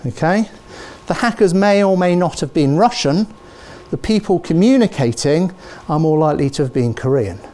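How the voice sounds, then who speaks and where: Lively British man lecturing a hall. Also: a little high, moderate pace. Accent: British English. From Britain. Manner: animated, asks the audience "okay?" and "yeah?" often. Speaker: professor giving a lecture. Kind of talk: public university lecture. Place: large lecture theatre with a seated audience.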